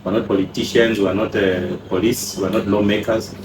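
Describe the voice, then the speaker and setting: Low-voiced calm man in an echoey room. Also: moderate pace. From Uganda. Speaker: pharmacist and company founder, guest. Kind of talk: casual interview answers. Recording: echoey room, noisy background.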